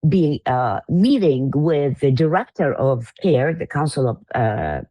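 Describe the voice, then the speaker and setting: A woman from Iraq in her fifties, telling her personal story as an interview guest, earnest and halting with frequent fillers. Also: rumbling low, very animated, dry recording, muffled recording.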